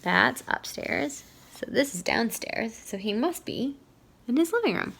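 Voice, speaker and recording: Bright-voiced woman reading to children. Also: a little high, unhurried, creaky, breathy edge. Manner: expressive, playful, teacherly. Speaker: children's storyteller. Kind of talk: read-aloud storybook narration with questions to young listeners.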